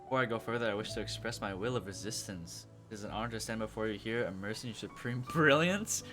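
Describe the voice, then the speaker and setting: A man's voice: on the low side, fast, bright, forceful tone. Casual male game streamer over music. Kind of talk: casual gameplay reactions.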